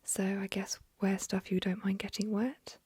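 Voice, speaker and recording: A woman's voice: mid-range voice, flat delivery, moderate pace, a little mellow, husky, no echo. A woman whispering the lines of a shy young woman at summer camp.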